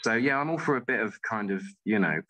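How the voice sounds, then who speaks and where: Deep-voiced man in conversation. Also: expressive, quick pace, pushed and bright, low-quality recording. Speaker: interview guest, playwright. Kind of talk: conversational interview answers, storytelling.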